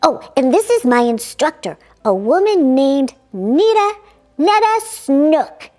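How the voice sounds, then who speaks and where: A woman's voice: high-pitched, very animated, slow, mellow, faint music behind, exaggerated voice. A woman voicing a spirited, boastful young woman aviator with cheeky dramatic asides, in a children's storybook read-aloud. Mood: excited.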